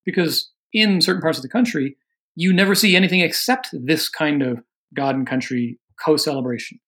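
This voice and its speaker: Podcast guest, man from Oregon, earnest, careful explainer, somewhat high voice. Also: a bit bright. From Oregon, USA. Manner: measured, earnest, carefully qualifying. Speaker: guest author on religion and politics. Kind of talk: podcast interview answers.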